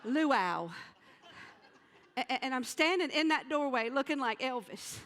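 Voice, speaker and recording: A woman with a Southern US accent telling comic stories on stage in a folksy, dramatic manner with pauses for effect. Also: high-pitched, lively intonation, noisy background. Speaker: comedian and storyteller. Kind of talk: theatrical comic storytelling to an audience. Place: theater stage.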